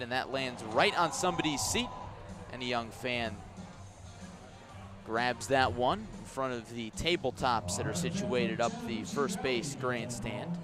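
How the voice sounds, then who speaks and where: An American man doing live radio play-by-play of a baseball game, measured between pitches and emphatic on the action. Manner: measured description rising into emphatic calls on the action. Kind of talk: live radio sports commentary. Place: outdoors at a ballpark.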